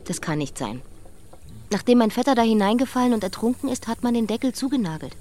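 A blunt, headstrong young woman in a radio play, acted by a woman. Her voice sits fairly high and swings in a lively way. She is scornful and emphatic, dropping at times into a hissed whisper. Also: quick pace, natural voice. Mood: insistent. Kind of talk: German radio play acting.

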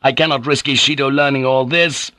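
A man's voice: mid-range voice, steady tone, brisk, sharp tone, no room sound, clean studio sound, voice pushed up, close to an everyday voice. A man voicing a proud young samurai bent on honor, formal and defiant, in an audiobook. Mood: proud resolve. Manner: formal, defiant, clipped politeness. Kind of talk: audiobook character voice.

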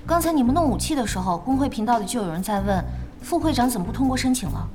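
Actress as composed young woman, fairly high voice. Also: lively intonation, fast, music in the background, close to an everyday voice. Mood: calm. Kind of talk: audio drama acting.

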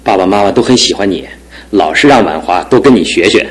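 A good-natured young man in a radio play, joking easily and turning earnest when it matters. His voice sits somewhat low for a man. He comes across as a little pampered but sincere. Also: lively intonation, a little fast. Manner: jokey and warm-hearted, earnest when serious. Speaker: radio drama actor. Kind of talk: 1960s-70s studio radio drama acting.

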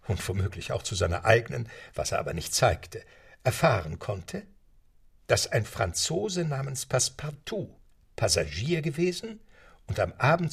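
A man narrating a radio drama in a measured storytelling manner. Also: lowish voice, vocal fry, slightly rough, distant mic. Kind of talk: scripted radio-play narration. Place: studio. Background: music.

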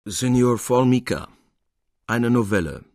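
A man's voice: medium pitch, expressive, unhurried. A man giving a formal, articulate reading of a classic literary novella as narrator. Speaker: reader, narrator.

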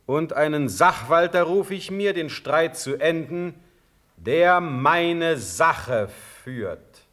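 Stern, deliberate sovereign in verse drama. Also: male voice, a little high, lively intonation, fairly bright tone. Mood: exasperated, commanding. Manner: commanding, formal, deliberate declamation. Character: stern, proud male sovereign upholding military discipline. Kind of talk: classical radio drama verse acting.

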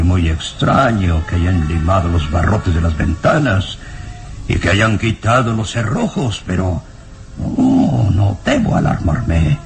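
An actor playing a frightened professor in an old radio serial, talking to himself in halting, trembling gasps as he tries to stay calm. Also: deep voice, vocal fry, full character voice. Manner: trembling, halting, gasping self-talk. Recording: radio drama with music underneath.

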